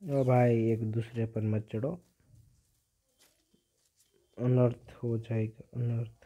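A man's voice: medium pitch, flat delivery, takes it slowly, warm tone. A teenage male giving casual gameplay commentary as a gaming video creator. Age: teenager, about 15. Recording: dull, lo-fi phone-like audio.

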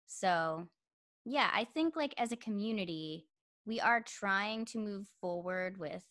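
A woman's voice: lively intonation, measured pace, fairly bright tone. A young woman interviewing a guest over a video call, chatty and full of fillers, with a youthful-sounding voice. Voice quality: says she sounds young with a high voice.

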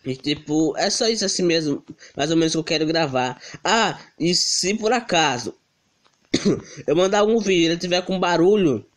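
A teenage boy, hoarse from a cold, giving slangy, giggly commentary on his gaming video at home. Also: a little high, lively intonation, moderate pace. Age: teens. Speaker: gaming channel host. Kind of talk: casual gameplay commentary.

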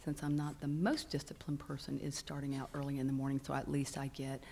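Low-voiced woman, hesitant panelist. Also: monotone, a little fast, vocal fry, mellow, a little hiss or hum. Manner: hesitant, frequent ums, earnest. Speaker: patient panelist and support group leader. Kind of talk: conference panel discussion. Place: conference hall stage panel. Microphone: stand microphone.

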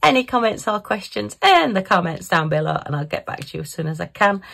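A woman's voice: medium pitch, very animated, a little fast, creaky, fairly bright tone. A woman chatting casually in a crafting vlog, giggly and self-mocking, recorded at her home craft table. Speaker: crafting vlogger.

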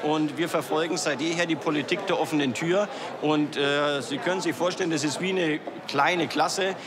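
A man's voice: fairly high pitch, normal speed. A middle-aged man speaking as a university official in an interview, in a busy indoor hall. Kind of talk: on-camera interview. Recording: crowd chatter behind.

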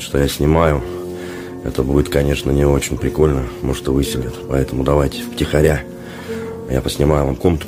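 A man with an extremely low, flat voice talks casually to his vlog viewers. He is sick with a sore throat and his voice is worn out, so he speaks in halting phrases. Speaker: vlogger. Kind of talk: casual vlog talk to camera. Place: small dormitory room, indoors. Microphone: handheld phone at arm's length.

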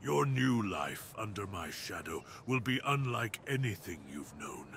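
A man as a deep, menacing dire wolf lord. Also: big pitch swings, vocal fry, fairly bright tone, slight background noise, full character voice. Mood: solemn. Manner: commanding, grave, deliberate theatrical delivery. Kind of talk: ASMR roleplay monologue.

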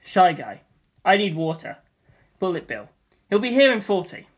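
Man reading a script deadpan. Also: sits high, moderate pace, breathy edge. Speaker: reader of a script.

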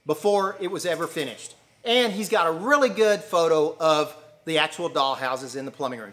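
A man's voice: fairly high pitch, sharp tone. A man, giving plain-spoken, folksy exam advice straight to camera from a training room. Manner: folksy, plain-spoken, repeats short commands. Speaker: master plumber teaching exam prep. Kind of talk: direct to-camera instruction. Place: indoor training room in front of a whiteboard.

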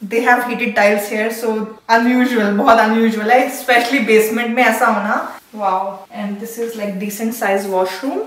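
A woman's voice: fairly high pitch, fairly even intonation, extremely slow, hardly any pauses. A woman, around her thirties, giving casual vlog commentary on a house tour in mixed Hindi and English. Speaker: vlog host. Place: small empty basement rooms, indoors. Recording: very echoey empty rooms, faint noise.

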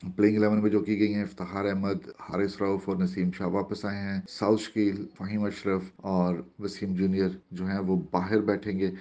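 A man presenting a cricket news report as a voice-over, in formal, measured phrasing. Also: deep voice, warm tone, lo-fi sound.

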